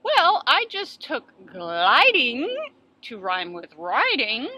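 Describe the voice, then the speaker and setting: A woman's voice: sits high, sharp tone, low-quality recording. A woman, a children's book author, talking through writing tips in a playful, self-deprecating way at home. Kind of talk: casual tips video.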